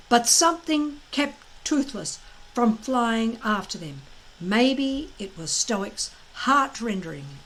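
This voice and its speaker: A woman reading a children's story aloud as expressive narration, with dramatic emphasis and deliberate phrasing. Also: a little high, a little hiss or hum, low-quality recording.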